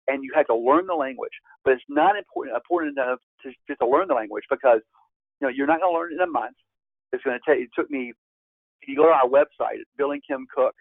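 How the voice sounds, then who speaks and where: A man in his fifties presents a real estate course in an emphatic teaching manner, his pitch jumping up on key points. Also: dry recording. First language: Spanish. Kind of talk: webinar presentation. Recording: tinny phone-like line, dry.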